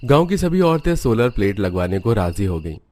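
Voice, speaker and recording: A man's voice: lowish voice, big pitch swings, fairly quick, dark tone, no room sound. A man narrating a scripted cartoon village story.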